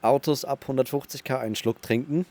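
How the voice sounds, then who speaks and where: A man's voice: medium pitch, fairly even intonation, fast, warm tone. A young man with a Bavarian accent, a podcast co-host joking and teasing in casual banter.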